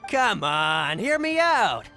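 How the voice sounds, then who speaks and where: Pushy game merchant, high sharp voice, animated pitch, persuasive, music underneath. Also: male voice, slow, creaky, exaggerated voice. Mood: insistent. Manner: insistent, persuasive. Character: pushy, eager male fruit merchant. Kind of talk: video game voice acting.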